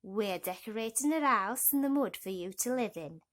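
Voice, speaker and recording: A woman's voice: fairly high pitch, expressive, moderate pace, fairly bright tone. A woman voicing a bossy, snappish old mother toad in a bedtime storybook. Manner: snappish, commanding.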